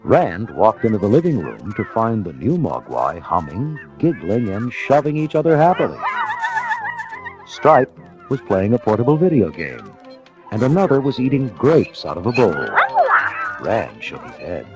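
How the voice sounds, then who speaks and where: Male storybook narrator: low voice, hugely expressive dramatic reading, music bed underneath. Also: a little slow, low-quality recording.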